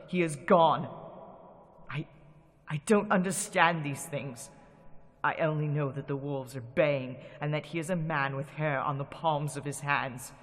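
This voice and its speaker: A man's voice: a little high, a bit bright. An actor playing a frightened young Englishman narrating his journal in a radio drama reenactment, earnest and tense. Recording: long hall reverb with music.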